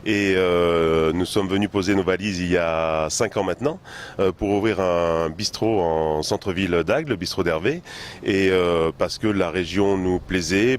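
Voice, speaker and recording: A man from France, a chef, answering interview questions in a hesitant way, often pausing and repeating words. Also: sits low, slight background noise. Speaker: chef and bistro owner.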